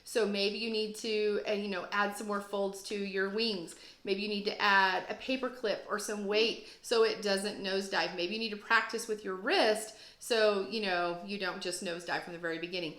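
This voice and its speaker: Bright-voiced woman teacher in an echoey room. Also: mid-range voice, steady tone, moderate pace, few pauses. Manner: encouraging, self-deprecating. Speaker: second grade teacher, guest reader. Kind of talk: read-aloud storytelling and casual explaining for children.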